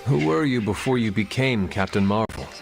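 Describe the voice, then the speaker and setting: An actor playing a solemn ancient warrior hero in a video game, speaking in a measured, formal, earnest way. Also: male voice, lowish voice, lively intonation, creaky, over music. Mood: curious, earnest. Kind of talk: video game dialogue acting.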